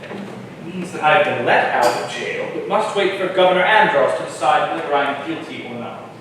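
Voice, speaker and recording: An earnest, principled colonial father, played by a man speaks in a fairly high voice. It is a staged historical reading, caught from far off in a very echoey hall with faint noise. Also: lively intonation, moderate pace, fairly bright tone. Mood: gentle, earnest. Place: live stage in a reverberant hall.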